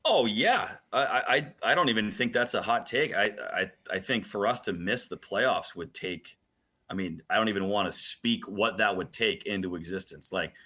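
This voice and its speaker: A man's voice: on the low side, moderate pace, sharp tone, breathy edge. A man working as a hockey broadcaster, giving sports analysis as a guest and repeating phrases for emphasis. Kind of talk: animated sports talk, analysis.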